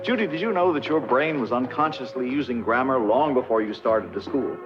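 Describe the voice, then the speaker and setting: An older man playing a patient, kindly professor, lecturing deliberately and reassuringly in a 1950s educational film. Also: medium pitch, lightly acted. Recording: dull vintage film soundtrack, music behind.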